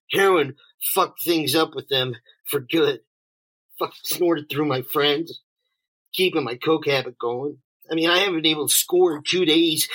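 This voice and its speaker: A man's voice: a little high, pushed and bright, poor audio. A man playing a desperate, bitter homeless drug addict in an audio drama, agitated and stammering, swearing and sarcastic. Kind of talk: naturalistic two-person audio drama acting.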